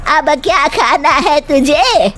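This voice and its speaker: A woman's voice: sits high, animated, slow, a bit bright, exaggerated voice. A woman voicing an exasperated older mother-in-law who scolds her daughter-in-law. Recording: faint music behind.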